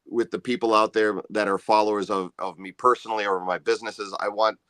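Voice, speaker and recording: A man with a deep bass voice talks in a relaxed, meandering way, plugging his music as a guest. The sound is close and dry, with no room around it. He sits low in pitch. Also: a little fast, clean tone, no echo. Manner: easygoing, rambling, self-promoting. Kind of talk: casual interview chat.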